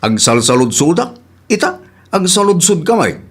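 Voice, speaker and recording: A man's voice: high-pitched, very animated, normal speed, fairly bright tone, breathy edge, exaggerated voice. A man playing an irritable, teasing husband in a comedy radio drama, with a Filipino accent and bantering drawl. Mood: irritated.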